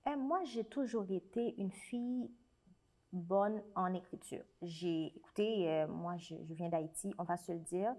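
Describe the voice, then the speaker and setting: A young woman with a Haitian French accent talks earnestly through her memories and her work. Her voice sits a little low for a woman. She restarts her sentences often as she thinks aloud. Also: measured pace, dark tone. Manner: earnest, reflective, with frequent restarts. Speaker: guest, writer and special educator. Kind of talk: conversational interview.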